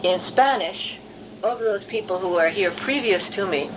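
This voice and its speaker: A woman's voice: medium pitch, moderate pace, background noise. A middle-aged woman from Hungary, a non-native English speaker, recounting her academic career in a discursive, careful, self-correcting way as an interviewed professor. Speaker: university professor being interviewed. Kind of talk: oral history interview, recollection.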